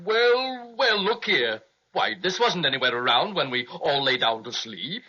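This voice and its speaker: Genial, bumbling patchwork creature. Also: male voice, a little high, big pitch swings, unhurried, bright, forceful tone, breathy edge, full character voice. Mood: startled, puzzled. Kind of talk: old-time radio children's serial acting. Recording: dull, lo-fi old radio recording.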